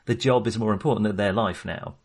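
A man's voice: fairly low pitch, fairly even intonation, fairly quick, breathy edge. Man chatting analytically, thinking aloud. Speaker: film podcast co-host. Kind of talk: casual analytical film discussion.

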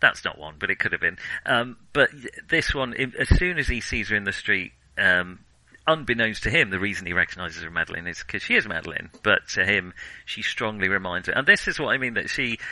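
A man's voice: fairly low pitch, expressive, brisk, bright, forceful tone, low-quality recording. A man co-hosting a film podcast in casual discussion, thinking aloud with hesitant self-corrections.

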